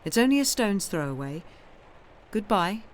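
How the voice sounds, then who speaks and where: Woman playing young female audiobook character, frank, guarded, lightly teasing, hesitant, medium pitch, faint background noise. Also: very animated, normal speed, natural voice. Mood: gentle, guarded. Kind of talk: dramatised audiobook reading.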